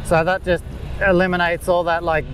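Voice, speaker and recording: A man, explaining golf green work in a relaxed way with many fillers, recorded outdoors on a course. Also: sits high, moderate pace, slight background noise. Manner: laid-back, lots of "like" fillers. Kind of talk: casual explanatory interview.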